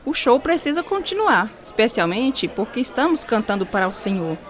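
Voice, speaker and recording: A woman's voice: a little high, very animated, normal speed. A woman playing a joyful, devout young choir singer in radio drama dialogue.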